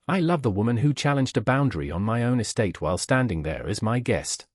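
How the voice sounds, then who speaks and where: A man voicing a devoted, restrained Regency duke in an audiobook, speaking gently and with deliberate earnestness. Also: mid-range voice, big pitch swings, no room sound, clean studio sound. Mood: tender, earnest. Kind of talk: audiobook character dialogue.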